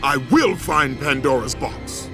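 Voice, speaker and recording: A man's voice: on the high side, lively intonation, unhurried. A man playing a vengeful, brooding Spartan warrior captain in a video game, with a grave, commanding, declamatory delivery. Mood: vengeful, menacing. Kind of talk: dramatic video game acting. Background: dramatic music.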